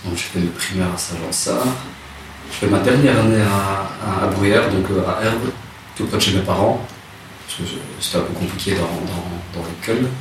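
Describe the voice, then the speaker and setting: A man, telling his life story in a relaxed interview, hesitant and soft-spoken with many restarts. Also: low-pitched, normal speed. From Rwanda. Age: 30s. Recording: echoey room, distant mic, noisy.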